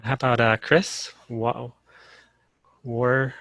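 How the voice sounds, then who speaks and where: Bright-voiced man teaching an online class. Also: mid-range voice, takes it slowly, dry recording. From Colorado. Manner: relaxed, frequent "uh" fillers. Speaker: course instructor. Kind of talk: casual online class lecture. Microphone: video call.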